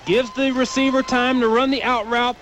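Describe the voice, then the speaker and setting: A man's voice: very high-pitched, normal speed. An American man giving football analysis as a radio color commentator, with outdoor noise behind him. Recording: noisy outdoor stadium radio broadcast. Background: steady outdoor ambient noise.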